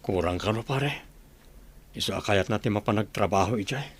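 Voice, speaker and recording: A man's voice: on the low side, moderate pace, slightly rough. A man playing a reluctant, worried husband and father in a radio drama, pleading and resigned. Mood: resigned, heavy-hearted.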